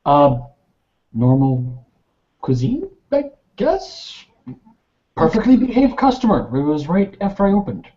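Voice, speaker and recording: Mellow, modest restaurateur played by a man, courteous and drily humorous, tabletop roleplay, dull lo-fi sound. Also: high voice, big pitch swings, a little slow, voice pushed up. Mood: mild, unsure. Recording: dull lo-fi voice recording.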